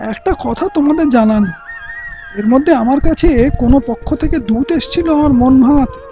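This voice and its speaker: A proud royal engineer in an audio drama, ruthless and boastful. The delivery is declamatory and mellow-dark in tone. The recording is lo-fi and muffled, with faint music behind. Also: male voice, very high voice. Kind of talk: Bengali audio play acting.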